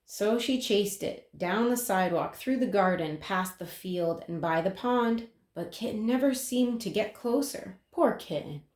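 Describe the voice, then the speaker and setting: A woman's voice: mid-range voice, a little slow, hardly any pauses, darkish tone. Woman reading a children's story, echoey room. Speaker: picture book reader. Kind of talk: children's story read-aloud. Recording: roomy, echoing sound.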